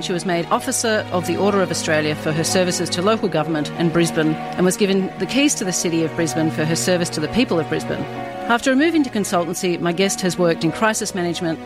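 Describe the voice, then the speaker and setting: A woman hosting a radio interview show, reading a measured scripted introduction before chatting with her guest. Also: lowish voice. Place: radio studio.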